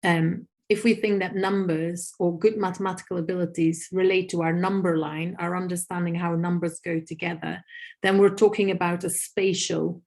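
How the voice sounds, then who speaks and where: A woman whose first language is Dutch, answering audience questions on a video call in a thoughtful, explanatory way with frequent pauses. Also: lowish voice, level tone, creaky, darkish tone. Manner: thoughtful, many "um" pauses, lists examples. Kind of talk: webinar Q&A, explaining.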